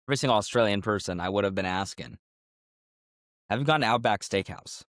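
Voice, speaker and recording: A man's voice: lowish voice, fairly bright tone, no room sound, studio quality. Joking sick guy on a sports podcast. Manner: joking, quick banter with frequent laughs. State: sick, recovering from illness. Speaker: podcast co-host. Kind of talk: casual sports chat.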